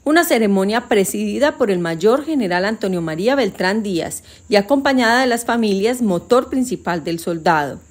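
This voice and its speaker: A woman narrates a ceremony report in a solemn, measured tone. Her voice is mid-pitched and steady. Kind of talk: scripted voice-over.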